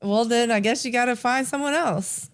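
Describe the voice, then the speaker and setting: A woman's voice: a little high, normal speed, clean tone. A woman in her thirties co-hosting a podcast and chatting casually with her husband. She is easygoing and teasing, and she laughs often. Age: 30s. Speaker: podcast co-host.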